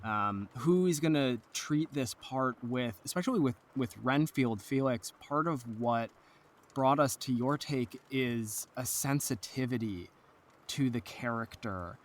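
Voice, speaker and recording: A man's voice: medium pitch, measured pace. A man talking casually in an interview as an audio drama producer explaining the work.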